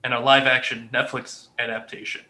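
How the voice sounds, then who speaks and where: Bright, punchy-voiced man in casual debate. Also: mid-range voice, flat delivery, brisk. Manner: emphatic, opinionated. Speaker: podcast panelist. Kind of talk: casual panel discussion about movies.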